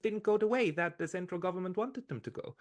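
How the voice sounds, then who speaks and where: A man with a fairly high voice talks through political ideas in conversation. He is halting and repeats words as he searches for the next phrase.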